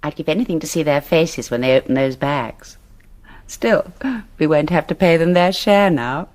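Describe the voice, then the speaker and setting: A woman's voice: deep voice, normal speed, darkish tone, close to an everyday voice. An actress playing a scheming, triumphant British woman in a radio play. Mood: amused, smug. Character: scheming, triumphant wife who masterminded a bank swindle.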